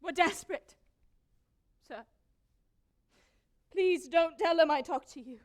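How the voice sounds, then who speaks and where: An actress in a stage play voicing a spirited, desperate young wife who is direct and emotional. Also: female voice, very high-pitched, takes it slowly. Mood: pleading. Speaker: stage actor in a school one-act play. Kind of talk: theatrical stage period drama acting.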